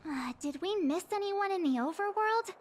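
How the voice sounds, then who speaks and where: A woman's voice: very high voice, expressive, moderate pace, a little hiss or hum. An actress playing a polite, diligent young female adventurer in a video game. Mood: earnest, attentive. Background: background music.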